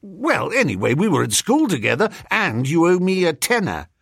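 A man voicing a flustered, excitable upper-class young Englishman who pleads with his pals in an audiobook story. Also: a little high, normal speed, creaky, breathy edge, dry recording, clean studio sound, pitched above the performer's own voice, exaggerated voice. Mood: urgent pleading.

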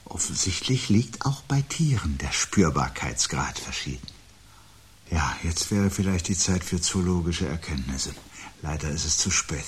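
An actor playing a weary, sardonic husband in a 1970s German radio drama, speaking deliberately and rhetorically. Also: male voice, sits low, expressive, slight background noise. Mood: dry sarcasm. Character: weary, bitter, sardonic husband awaiting catastrophe.

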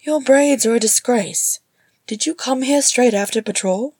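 Woman as a high-pitched, sharp-tongued dwarf sister. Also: measured pace, husky, no echo. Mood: dry, scolding. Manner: dry, teasing, bossy. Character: sharp-tongued female dwarf, teasing young mother and sister.

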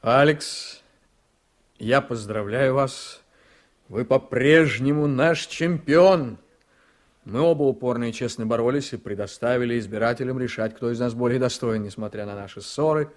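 An actor playing a defeated politician giving a solemn, declamatory speech of loyalty in a radio play, with emphatic pauses. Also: male voice, mid-range voice, close to an everyday voice.

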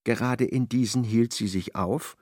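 A man narrating a literary radio drama in a measured, precise, dry manner. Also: medium pitch, steady tone, darkish tone, no room sound.

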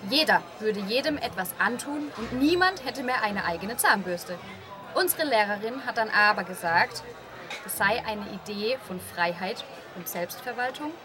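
A woman's voice: medium pitch, expressive, moderate pace, a crowd in the background, close to an everyday voice. A woman playing a curious, earnest schoolgirl talking to her father in a self-made radio play. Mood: naive, curious.

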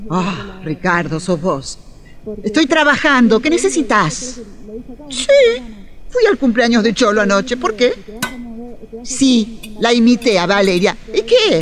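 Woman acting a testy middle-aged radio-play woman, high animated voice, defensive, busy background chatter. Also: measured pace. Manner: testy, animated phone talk. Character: Mabel, defensive newly separated woman of fifty-one.

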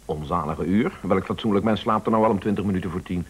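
An actor in a Dutch radio play playing a fussy, obstinate husband with an insistent, indignant, bossy way of talking. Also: male voice, low voice, quick pace, a little hiss or hum, lightly acted. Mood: agitated, bossy.

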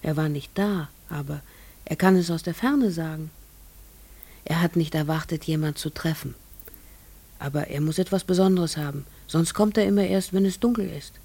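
An actress playing a troubled middle-aged farmer's wife in a German radio play, guarded, halting and fearful. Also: female voice, sits low, dark tone, husky. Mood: confiding, hushed.

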